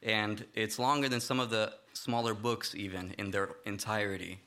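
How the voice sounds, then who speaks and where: A young man giving a church sermon, speaking in a halting way with many fillers and restarts. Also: on the low side, flat delivery, bright, forceful tone. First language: Romanian not first language. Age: young adult. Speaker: lay preacher.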